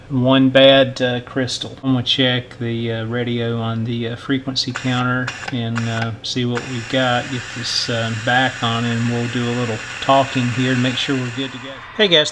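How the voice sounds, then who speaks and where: Man, middle-aged, monotone delivery, halting casual tutorial, thinking aloud, noticeably noisy recording. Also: medium pitch. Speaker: host of radio repair videos. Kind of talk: casual hands-on electronics tutorial. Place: indoor workbench. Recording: clear background noise.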